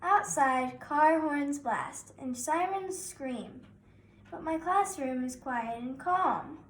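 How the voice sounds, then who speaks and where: Child female narrator, around nine: high voice, measured slow storybook reading, recorded in an echoey room. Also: expressive, far from the mic. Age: child, about nine. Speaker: reader of a picture book. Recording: roomy echo, faint background noise.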